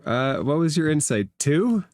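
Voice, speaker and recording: A man's voice: on the high side, big pitch swings, a little slow, a little mellow. A man running a tabletop role-playing game as the game master.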